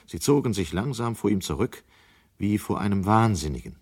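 An actor as a sober storyteller, giving scripted narration in a German radio play. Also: male voice, lowish voice, expressive, normal speed, a little mellow. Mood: grave. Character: sober male storyteller of a dystopian tale.

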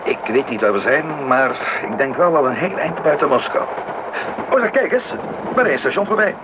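A man's voice: lively intonation, normal speed, lightly acted. An actor playing a kindly, easygoing father who explains and reassures in a radio play. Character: easygoing, kindly father on a long train journey. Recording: noisy radio play recording. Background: rumbling train.

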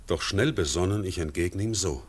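A grave, low-voiced Swiss farmer in an old radio drama. He speaks verse solemnly and with measured weight, a worried husband weighing resistance.

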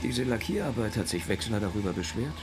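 A calm, very low-voiced police detective acted by a man. He questions politely but persistently, in a dry radio-drama manner. Loud noise runs behind his voice. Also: fast talker, lightly acted. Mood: calm, probing. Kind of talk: radio crime drama acting.

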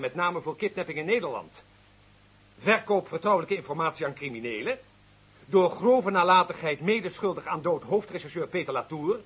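An actor in a radio drama playing a vindictive, power-drunk official, theatrical and menacing, moving between hissed threats and bellowing. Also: male voice, a little high, fairly bright tone, breathy edge, full character voice.

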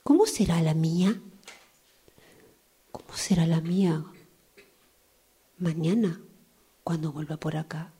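Slow, breathy, dreamy woman acted out by a woman. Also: sits low, dark tone, heavily acted. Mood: dreamy, nostalgic. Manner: theatrical, drawn-out, echoing her own phrases. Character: dreamy, wistful woman waiting for a train. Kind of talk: radio drama monologue.

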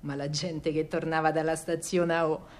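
An actress playing a stubborn young working-class wife with a Roman accent, telling wartime memories in a colloquial, earthy and wry theatrical monologue. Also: female voice, sits low, little pitch movement, moderate pace, darkish tone, natural voice. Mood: urgent, vivid.

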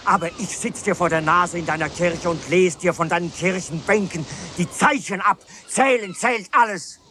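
Radio play tutor: highish voice, bitter, abrupt, impassioned, light background noise. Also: male voice, moderate pace, pushed and bright. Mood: furious. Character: agitated, defiant gentleman tutor, bitterly accusing. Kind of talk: 1980s German radio drama acting.

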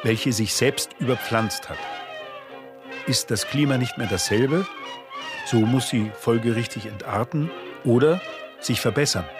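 Measured male radio narrator over music. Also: medium pitch. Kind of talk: scripted radio feature narration, literary reading.

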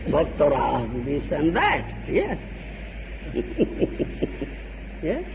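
Brief: male spiritual teacher, high lively voice, chatty lecture style, lo-fi archival recording. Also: drawn-out words, creaky. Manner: asks "huh?" often, acts out little dialogues, chuckles. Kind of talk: devotional lecture. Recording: dull lo-fi archival tape with faint hiss.

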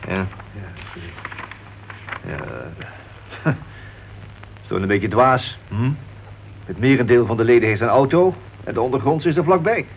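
A man's voice: mid-range voice, measured pace, long pauses, natural voice. An actor playing a formal, slightly impatient club chairman in a radio play. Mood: businesslike. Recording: dull, faintly noisy vintage radio recording.

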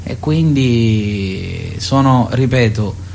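A man answering interview questions as a guest, haltingly searching for his words. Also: mid-range voice, animated, darkish tone. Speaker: playwright and stage director, interview guest. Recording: clear hum and background noise.

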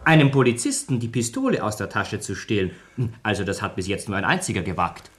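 An actor playing an indignant, eager and deferential doctor in a satirical radio play. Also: male voice, fairly low pitch, brisk. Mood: brooding, insistent. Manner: hesitant brooding that bursts into excitability.

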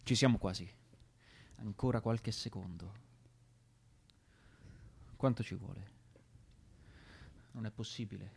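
Worldly, tense male underground leader, lowish voice, grave theatrical radio-drama delivery. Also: slow, gravelly, pauses often, a little mellow, breathy edge, exaggerated voice. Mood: anxious waiting.

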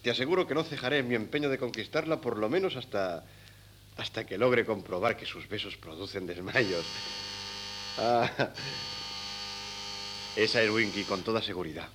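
Excitable young man played by an actor, bright and theatrical. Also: fairly low pitch, moderate pace, pauses often, lightly acted. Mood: earnest, flustered. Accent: Castilian Spanish. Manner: theatrical, excitable, stammers when flustered. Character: eager, bossy, vain young son in love. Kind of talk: 1970s Spanish radio play acting. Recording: hissy old cassette radio recording.

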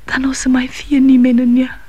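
An actress playing a grieving middle-aged widow in a 1960s radio drama, speaking in a hushed, breathy whisper. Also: female voice, high-pitched, monotone, muffled recording.

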